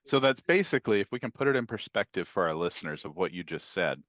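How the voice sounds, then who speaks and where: Male podcast host, fairly deep voice with very animated intonation, joking through a casual chat. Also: fast talker, no room sound, lo-fi sound. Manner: jokey, breaks into bits of song.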